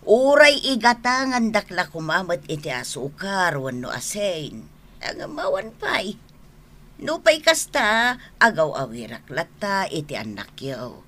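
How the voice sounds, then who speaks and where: A woman's voice: lowish voice, sing-song, unhurried, sharp tone, exaggerated voice. A woman acting a worried mother in an Ilocano radio drama, plaintive and admonishing, with an Ilocano accent. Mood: plaintive reproach. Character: worried, lamenting mother pleading with her wayward grown son.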